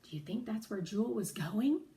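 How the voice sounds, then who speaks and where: A woman's voice: medium pitch, animated, moderate pace, warm tone, slight background noise, close to an everyday voice. Woman voicing an earnest, thoughtful storybook boy. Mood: curious. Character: earnest, thoughtful young boy, the narrator's companion.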